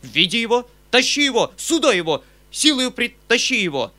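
A man voicing an agitated middle-aged gentleman uncle from an audiobook novel, emphatic and rushed, flaring into commanding outbursts. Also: on the high side, close to an everyday voice. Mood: furious, commanding. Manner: emphatic, breathless, rushed exclamations in short bursts.

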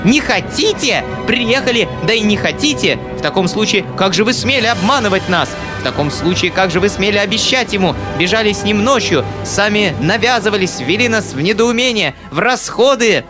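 A man's voice: sits high, animated, brisk, voice pushed up. A man voicing a furious middle-aged noblewoman who screeches and scolds, with music playing behind. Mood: shrieking.

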